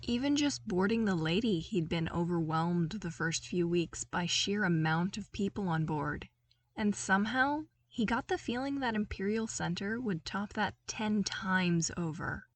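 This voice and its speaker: A woman reading a story aloud as an audiobook narrator, in an expressive scripted style. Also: mid-range voice.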